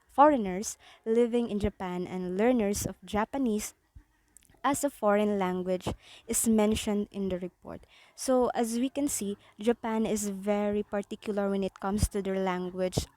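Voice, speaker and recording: Young Filipino-accented woman, student presenter, mellow tone, halting delivery. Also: mid-range voice, no room sound. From Philippines. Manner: halting, with frequent restarts and fillers. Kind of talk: class report.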